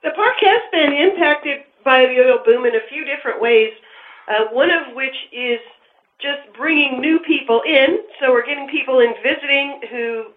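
A woman's voice: high-pitched, moderate pace. A woman answering interview questions as a park official, explaining things over a roomy, tinny phone connection. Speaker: park deputy superintendent, interview guest. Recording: echoey, tinny phone line.

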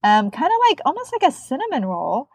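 Stuffy-nosed woman, a little high, self-mocking. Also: huge pitch swings, a little fast, darkish tone, breathy edge, dry recording, clean studio sound. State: nasal from a cold. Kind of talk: casual chat about cookbooks.

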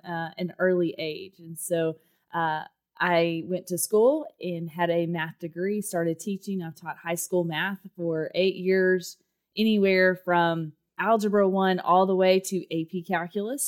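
A woman with a Texas accent, chatting through her career story as an interview guest, with frequent ums and uhs. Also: on the low side. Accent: Texan. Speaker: guest, education administrator. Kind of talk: conversational interview answers.

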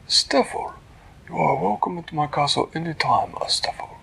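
A man voicing a polite, formal storybook creature, speaking courteously in a raised, breathy voice. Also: on the high side, normal speed, pushed and bright, whispered, voice pushed up, exaggerated voice.

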